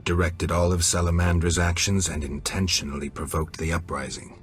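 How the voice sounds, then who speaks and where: Fantasy game hero: male actor as blunt mercenary monster slayer, extremely low register, terse and restrained, faint music. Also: flat delivery, moderate pace, close to an everyday voice. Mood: grave. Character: stoic, blunt male monster-hunter for hire. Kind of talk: video game acting.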